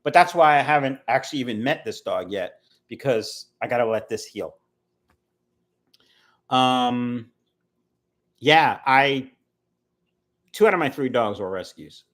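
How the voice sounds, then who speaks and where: A man's voice: medium pitch, lively intonation, measured pace, pauses often, fairly bright tone. A man in his early fifties, casually chatting on a livestream, answering viewer comments in a rambling, talkative way. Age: 50s. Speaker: fishkeeping livestream host reading chat. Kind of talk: casual live chat.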